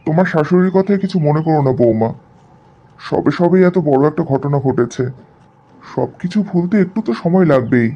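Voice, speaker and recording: A man's voice: on the high side, animated, fairly quick. A man voicing a kindly, reassuring middle-aged father-in-law in cartoon dialogue, on a muffled recording. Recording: dull, muffled audio.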